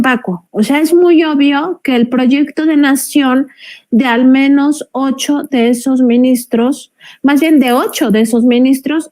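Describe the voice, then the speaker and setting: Higher-voiced Mexican woman giving political commentary. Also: darkish tone. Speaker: journalist, panel commentator. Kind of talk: political commentary in a panel discussion.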